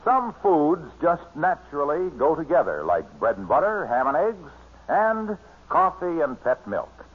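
An American man working as announcer, delivering scripted introductions and sponsor pitches in 1940s radio style. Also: big pitch swings, a little slow, noisy background. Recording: tinny vintage radio broadcast recording.

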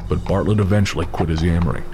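A man narrating a western horror story in a measured, steady way. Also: low-pitched, noisy background.

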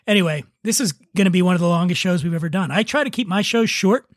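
A man's voice: sits high, fast talker, no room sound, studio quality. A man hosting a podcast in casual comic chat, with a joking, self-deprecating manner. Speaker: podcast host.

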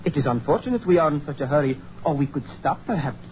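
A thin, hissy vintage radio recording carries an actor as a cultured Frenchman, his voice a little high. He speaks with a French accent in formal, theatrical phrases, proud and eloquent, as in a wartime horror serial. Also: lively intonation, quick pace, lightly acted. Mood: earnest, learned. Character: erudite, proud Frenchman driving foreign travellers, moodily eloquent. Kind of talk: 1940s radio horror acting. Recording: muffled, noisy 1940s radio recording.

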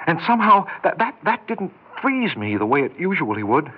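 An actor playing a timid, self-loathing lonely bachelor in an American 1960s radio drama, stammering and repeating himself in a husky voice. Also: male voice, on the high side, very animated. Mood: dreamy, excited. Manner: stammering, halting, repeats words. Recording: dull 1960s radio recording.

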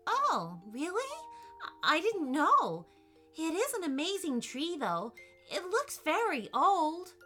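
Woman voicing high, eager storybook girl. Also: huge pitch swings, slow, bright, forceful tone, faint music behind, exaggerated voice. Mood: curious. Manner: gushing, breathless wonder, chatty when nervous. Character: friendly, eager, nervous, polite girl out exploring. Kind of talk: children's storybook character voice.

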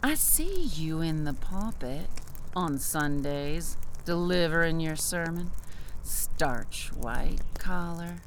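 Slow, menacing, vengeful ghost woman, acted by a woman. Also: low voice, animated, a little hiss or hum, exaggerated voice. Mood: cold menace. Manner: slow, deliberate, taunting. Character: vengeful wronged woman's spirit, menacing, taunting, folksy Southern speech. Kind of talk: theatrical one-act audio play acting.

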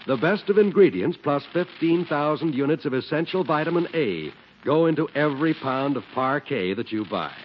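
Male announcer for vintage 1940s radio, animated pitch, muffled period recording. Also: moderate pace, few pauses, a little hiss or hum. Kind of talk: 1940s radio advertising and scripted narration.

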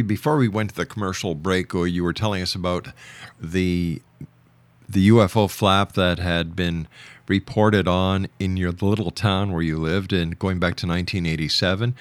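A man hosting a radio show, speaking in a deliberate announcer's delivery. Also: sits low, fairly bright tone. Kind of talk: radio hosting and scripted ad reads. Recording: music behind the voice. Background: music.

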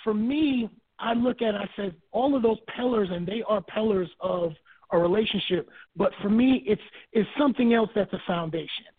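Man from Barbados, interview guest, measured and repetitive for emphasis, phone-line audio, dry. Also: sits high, husky, dry recording. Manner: measured, repeats points for emphasis. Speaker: guest author.

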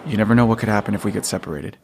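A man acting as a weary, protective father in an audio drama, talking in a curt, steady way. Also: fairly low pitch, very fast, music in the background, close to an everyday voice. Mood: firm. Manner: curt, steady, swears when pushed. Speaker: voice actor.

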